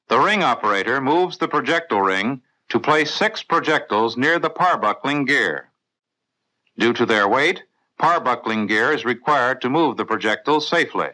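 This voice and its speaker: A man with an American accent reading scripted mid-century instructional film narration for the navy in a clear, methodical, authoritative way. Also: medium pitch, pushed and bright, low-quality recording. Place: voice-over recorded in a studio.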